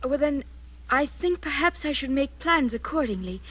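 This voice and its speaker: An actress playing an anxious, cornered young companion in a 1940s radio drama, hesitant and flustered. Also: female voice, sits high, lively intonation, moderate pace, a bit bright. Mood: flustered, evasive. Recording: muffled 1940s radio recording.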